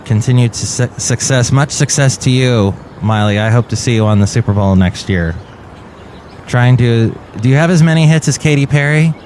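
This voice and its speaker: A man's voice: medium pitch, normal speed, slight background noise. Rambling man hosting a comedy podcast. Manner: rambling, jokey, deadpan asides. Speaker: podcast host.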